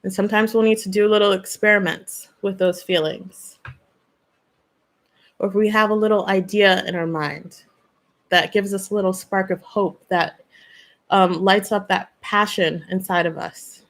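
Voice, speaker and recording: A woman hosting a live stream as a spiritual channeler. She talks in an earnest way, asks questions, gives gentle advice and answers viewers by name. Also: medium pitch, steady tone, moderate pace.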